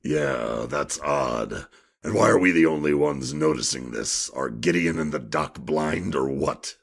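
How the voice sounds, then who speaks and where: Man acting a very deep, gravelly grumbler. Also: lively intonation, measured pace, exaggerated voice. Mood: grumbling. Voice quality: gravelly, husky. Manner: blunt, grumbling. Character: gruff, wary, sarcastic man, reluctant fairgoer. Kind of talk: audio drama acting.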